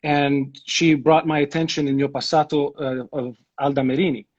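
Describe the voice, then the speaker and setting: A man's voice: on the high side, flat delivery, moderate pace. Young male guest, rambling, restarts a lot, muffled lo-fi line. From Ethiopia. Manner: rambling, many restarts and fillers. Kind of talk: casual video-call chat.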